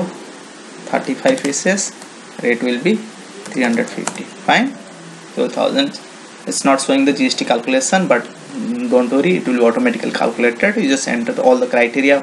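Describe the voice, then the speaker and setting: A man with an Indian accent presents an informal software tutorial. His mid-pitched voice has a noticeable creak, and he often repeats words in non-native English phrasing. The home-made recording carries faint noise behind him. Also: normal speed. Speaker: software demonstrator.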